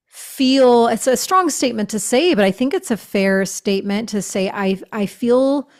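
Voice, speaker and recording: A middle-aged woman talks as a podcast guest, speaking as a shaman and author. Her speech is gushing and spiritual, studded with "you know" and soft fillers. She keeps an ordinary, mid-height pitch. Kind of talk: casual interview chat.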